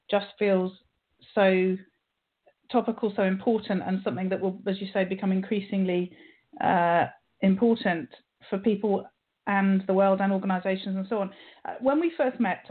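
A woman with a British accent hosting a radio interview show. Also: medium pitch. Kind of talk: scripted show introduction and conversational interviewing. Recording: narrow phone-line sound.

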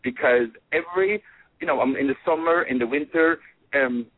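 A man's voice: on the high side, normal speed. Man on a tinny phone line. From Norway. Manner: animated, many fillers and restarts. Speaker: guest, fragrance designer. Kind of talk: casual storytelling in an interview.

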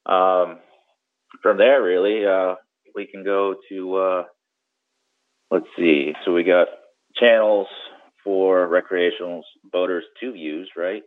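Very deep-voiced man, muffled audio. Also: steady tone, slow pace, pauses often. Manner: conversational, explanatory, checks in with "right?". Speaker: guest, commercial mariner and boating trip planner. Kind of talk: informal explanatory interview. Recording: muffled narrow-band audio.

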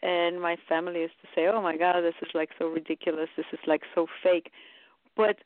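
A woman's voice: lowish voice, little pitch movement, a little fast, dry recording. A woman, a guest telling stories in a chatty, rambling way with many fillers. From Romania. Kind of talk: casual radio interview. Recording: dry, tinny phone line.

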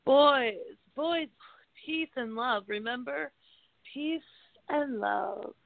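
Woman acting a slow, gentle peacemaker, tinny sound. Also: high voice, vocal fry, no room sound, full character voice. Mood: placating. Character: gentle, peace-loving female friend of the group. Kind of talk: comic radio play acting. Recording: tinny, narrow phone-like sound.

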